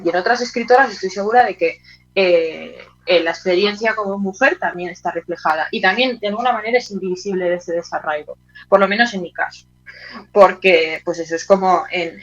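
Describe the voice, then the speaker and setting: Woman from Spain, colloquial, slightly lo-fi sound. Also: lowish voice. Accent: Spanish from Spain. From Teruel, Spain. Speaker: interview guest, playwright. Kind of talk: casual interview talk.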